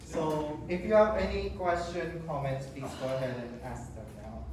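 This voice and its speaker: A man's voice: a little high, normal speed. A man speaking as a teacher, moderating a question and answer session after a student presentation. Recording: very echoey room, distant mic, clear noise.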